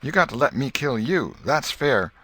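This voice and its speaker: Man as a defiant schoolboy playmate, pitched up. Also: very animated, moderate pace, bright, forceful tone, slightly rough, no room sound, full character voice, low-quality recording. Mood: defiant, sulky. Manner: stagy challenges, rustic boyish protest. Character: defiant schoolboy playmate acting a haughty outlaw foe.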